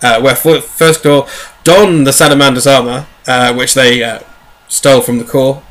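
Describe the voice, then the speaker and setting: A man's voice: medium pitch, steady tone, normal speed, fairly bright tone. A man hosting a podcast, recapping in a casual, rambling, jokey way with wry asides. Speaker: podcast host. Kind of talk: casual chatty book recap.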